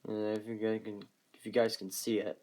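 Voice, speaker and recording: A man presenting his collection in a casual, unscripted video, speaking haltingly and often trailing off. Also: fairly low pitch, flat delivery, heavy background noise. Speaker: collector presenting weather radios. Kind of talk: casual unscripted show-and-tell.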